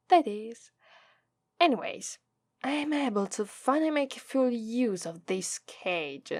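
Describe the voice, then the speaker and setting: A woman with an Italian accent voicing a gloating, teasing female demon captor in a slow, drawling ASMR roleplay. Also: medium pitch, dry recording. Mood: smug, gloating. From Italy. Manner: slow, drawling, taunting, playful menace.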